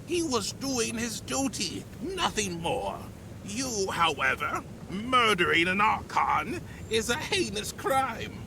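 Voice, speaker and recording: An actor playing a haughty, condescending official in a fantasy game, speaking formally and with measured authority. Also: male voice, high-pitched, big pitch swings, noisy background, exaggerated voice. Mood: stern, accusing. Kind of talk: dramatic game cutscene acting.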